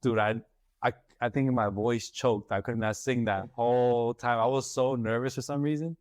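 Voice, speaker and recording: A young man talking loosely, slang running through every story. His voice sits in an ordinary middle pitch. The sound is dry and close, with no room around it. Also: steady tone, normal speed, no echo. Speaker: rapper guest. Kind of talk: casual chat. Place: studio room.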